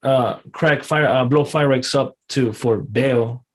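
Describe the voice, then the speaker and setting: A Mexican man interviewing someone outdoors, asking halting, hesitant questions full of fillers. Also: medium pitch. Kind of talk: casual interview chat. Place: outdoors in a desert camp.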